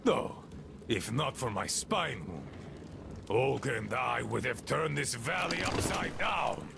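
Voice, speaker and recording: A gravelly, bitter outpost leader. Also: male voice, mid-range voice, animated, slow, noisy background, exaggerated voice. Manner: ranting, mocking, scornful. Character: embittered wounded male outpost leader. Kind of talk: video game cutscene.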